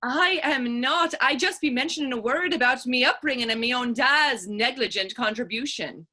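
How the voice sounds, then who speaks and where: Woman acting bright, sassy young Irish lass. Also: high-pitched, normal speed. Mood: indignant. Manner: saucy, challenging, folksy phrasing. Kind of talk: stage readers theater dialogue.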